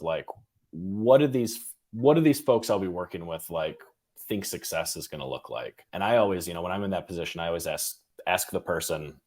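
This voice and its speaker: A man hosting an interview in a casual, halting way, thinking aloud in fragments. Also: sits low, a little mellow. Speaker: interview host.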